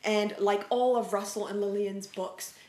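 A woman's voice: medium pitch, fairly even intonation, measured pace, darkish tone. A middle-aged woman chatting casually about children's books as a co-host. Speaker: co-host, teacher and parent. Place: living room couch, indoors. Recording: roomy indoor echo.

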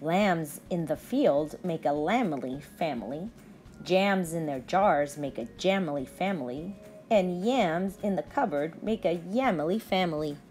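Lively, slow-reading woman for children. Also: fairly low pitch. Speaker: teacher. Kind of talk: children's read-aloud.

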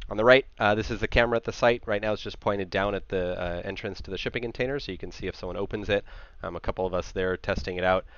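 A man, around his thirties, gives an informal technical slide presentation into a headset, explaining haltingly with frequent filled pauses. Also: deep voice, a bit bright, clean tone, no echo, highs cut off. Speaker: conference presenter.

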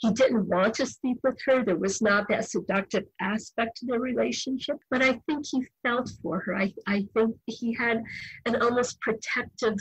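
An older woman novelist answering interview questions in a thoughtful, measured way. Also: a little high, husky, dry recording, low-quality recording. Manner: thoughtful, measured, occasional restarts. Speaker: novelist guest.

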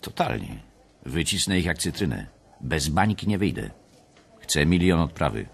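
An actor playing an aggressive, cocksure banker in a radio drama, brash and blunt, with a gravelly voice. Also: male voice, rumbling low, very animated, normal speed, heavily acted. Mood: cocky, determined. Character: aggressive, cocksure young banker, the narrator's friend.

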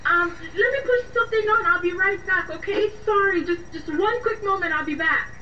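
A woman's voice: very high voice, normal speed. An actress playing a panicky, pleading young woman in a radio drama, with a Nigerian accent and breathless, repetitive delivery. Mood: apologetic. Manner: breathless, pleading, repeating herself. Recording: muffled distant mic with faint noise.

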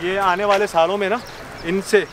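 A man's voice: sits high, flat delivery, moderate pace. A young man, chatting casually as a vlog host and projecting as he walks. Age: young adult. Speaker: vlogger, host. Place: outdoors in an open cattle farm yard. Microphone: camera at arm's length or a few steps away. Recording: noisy open-air farm ambience.

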